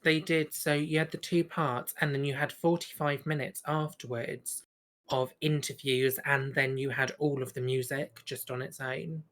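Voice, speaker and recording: Female podcast co-host, notably low register, chatty fan talking informally about things she enjoys. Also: steady tone, moderate pace, a bit bright. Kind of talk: casual review chat.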